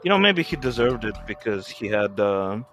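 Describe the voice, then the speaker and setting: A man's voice: on the low side, sing-song, moderate pace, a bit bright, faint music behind. A man giving casual, joking commentary as co-commentator on a game. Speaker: let's play co-commentator.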